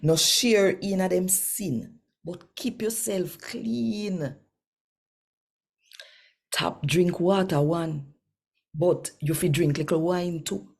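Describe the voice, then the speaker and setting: A woman's voice: fairly low pitch, slow pace, creaky, long pauses, a little mellow. A woman with a Jamaican accent, hosting and reading scripture aloud in a devotional style. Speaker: host reading daily scripture.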